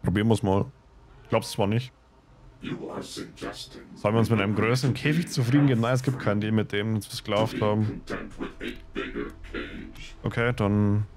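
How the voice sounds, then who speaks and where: A man's voice: lowish voice, fairly even intonation, normal speed, long pauses. A man live streaming a game in speech, reading dialogue aloud with stumbles and adding casual comments. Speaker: gaming streamer.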